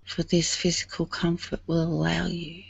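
A woman leading a guided meditation in a soothing, hushed and breathy manner, leaving long pauses. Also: lowish voice, monotone, no echo, highs cut off.

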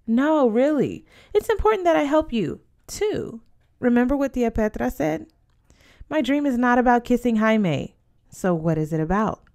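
Woman voicing stubborn, earnest teenage girl, lively high voice. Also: normal speed. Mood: earnest, probing. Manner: earnest, questioning, protesting.

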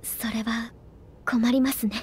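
A woman voicing a gentle, polite young noblewoman in a game cutscene, speaking softly and curiously. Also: a little high, fairly even intonation, takes it slowly, a little hiss or hum. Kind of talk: video game cutscene voice acting. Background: game soundtrack music.

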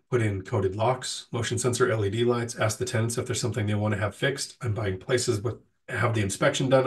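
A man's voice: on the low side, distant mic. A middle-aged man with a sick, nasal voice, casually answering live chat questions as host, with frequent ums and restarts.

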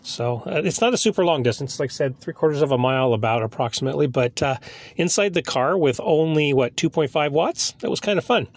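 Higher-pitched man, casual rambling vlogger. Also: expressive, a little fast, dry recording. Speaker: amateur radio operator and video host. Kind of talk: casual vlog narration. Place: inside a parked car.

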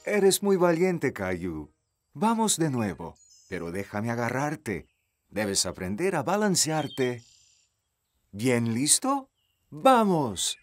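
A man voicing a patient, encouraging father in a dubbed children's cartoon, speaking gently. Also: medium pitch, sing-song, slow, no room sound, soft background music.

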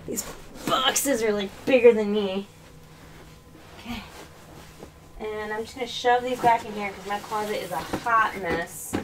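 A woman's voice: medium pitch, measured pace, long pauses, low-quality recording. Woman, thirties, slight Arkansas accent, rambling, self-mocking, webcam mic, faint hiss. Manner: rambling, self-mocking, talks while moving things around. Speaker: livestreaming doll collector. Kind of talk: casual livestream chat. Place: small bedroom full of dolls. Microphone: webcam mic, often off-mic moving around.

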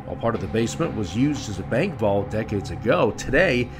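A man's voice: on the low side, big pitch swings, a little fast. A man reading scripted narration for a paranormal investigation video. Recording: voice-over with background music.

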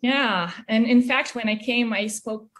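A woman's voice: a little high, unhurried, fairly bright tone. A woman from Brazil with a Brazilian accent, a native Portuguese speaker, talking conversationally as an interview guest on a video call. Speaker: interview guest, music educator. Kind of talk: conversational personal storytelling. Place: small indoor room, video call. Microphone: computer mic on video call.

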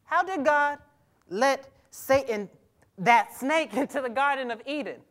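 A skeptical, aggrieved teenage boy in a stage drama, played by a young man. His voice sits very high for a male, and he talks in a colloquial, pointed way that keeps questioning and challenging. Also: unhurried, fairly bright tone. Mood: puzzled, indignant. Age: young adult. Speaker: actor in a staged reading. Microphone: lapel mic on a stage.

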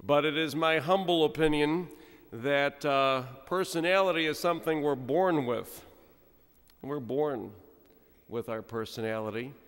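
A middle-aged man, a priest, giving an animated, humorous sermon at a pulpit microphone, with sudden quiet drops. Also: a little high, measured pace, clean tone. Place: large church, speaking at a pulpit. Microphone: stand mic at pulpit. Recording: long church reverb.

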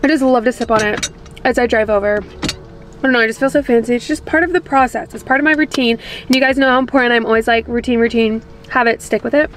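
Bubbly twenties gal vlogging at home, high bright voice, camera at arm's length, faint hiss behind. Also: female voice, fast talker. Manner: bubbly, gushing, chatty. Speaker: lifestyle and fitness vlogger. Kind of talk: casual vlog chat. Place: home kitchen and bedroom, later parked car. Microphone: handheld camera at arm's length.

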